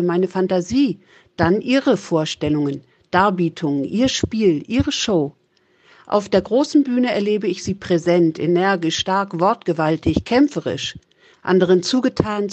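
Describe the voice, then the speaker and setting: An older woman reading a carefully written voice message in a measured way, listing words one by one. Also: lowish voice, a little mellow, no room sound. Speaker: mother sending a voice message. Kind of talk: recited voice message. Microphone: phone voice memo.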